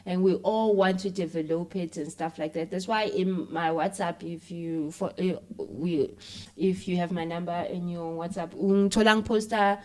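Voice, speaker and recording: A South African woman speaks in a fairly low register as a guest in a relaxed interview. She tells things in an animated, chatty way, switching languages mid-thought and checking in with "you know". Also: moderate pace, rough recording. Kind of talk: casual conversational interview.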